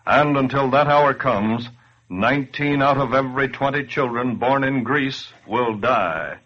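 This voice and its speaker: A man acting in 1940s radio style as a somber, deliberate voice relaying a starving refugee's plea. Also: mid-range voice, close to an everyday voice. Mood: urgent pleading. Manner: grave, deliberate. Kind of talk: 1940s dramatic radio reading. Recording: muffled old radio recording.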